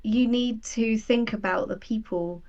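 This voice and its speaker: Creaky-voiced woman in casual conversation. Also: fairly high pitch, a little slow, darkish tone, muffled recording. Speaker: guest, sci-fi writer. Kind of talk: casual interview chat.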